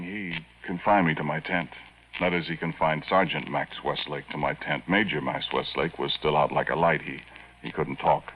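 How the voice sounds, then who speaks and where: An actor playing an earnest, flustered young army sergeant in a stammering, deferential manner, in 1950s radio drama style. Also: male voice, rumbling low. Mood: subdued narrating. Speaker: staff sergeant. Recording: dull, noisy 1950s radio recording.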